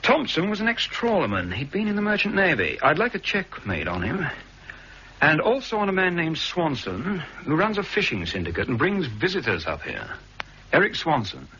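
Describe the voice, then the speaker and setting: A man playing a brisk, cool-headed government agent in a 1960s radio drama, speaking with crisp, dry understatement. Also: fairly high pitch, huge pitch swings, pushed and bright, full character voice. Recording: dull archive radio recording with faint hiss.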